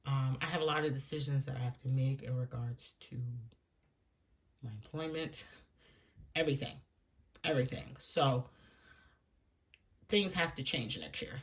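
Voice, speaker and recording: Woman, stuffy nose, froggy voice, casual vlog chat, rambling, occasional hushed asides. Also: very deep voice, normal speed, long pauses. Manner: rambling and chatty, with soft laughs and hushed asides. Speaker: budgeting vlogger. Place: room at home.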